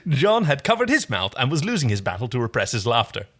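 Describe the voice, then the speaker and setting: A man's voice: medium pitch, wildly swooping pitch, a little fast, fairly bright tone. A man co-hosting a playful fan fiction reading, narrating aloud and prone to giggling. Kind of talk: animated story reading with character voices.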